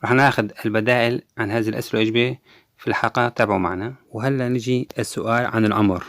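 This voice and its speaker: A man teaching a language lesson, repeating each example phrase twice slowly and explaining quickly in between. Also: medium pitch, steady tone, a bit bright, dry recording, clean studio sound. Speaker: English teacher.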